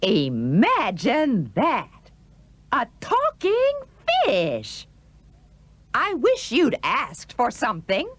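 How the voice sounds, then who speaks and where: A woman's voice: a little high, wildly swooping pitch, a bit bright, husky, dry recording, heavily acted. Woman playing a demanding, wildly swooping fisherman's wife. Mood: eager, scheming. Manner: exclamatory, insistent, slow and deliberate. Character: demanding, excitable fisherman's wife, never satisfied. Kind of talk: musical children's storytelling with sung dialogue.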